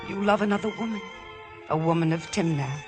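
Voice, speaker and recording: An actress playing a gentle, lovelorn young village woman in a 1950s radio drama, speaking earnestly. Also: lowish voice, fairly quick, over music, close to an everyday voice. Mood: wistful. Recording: vintage 1950s radio broadcast.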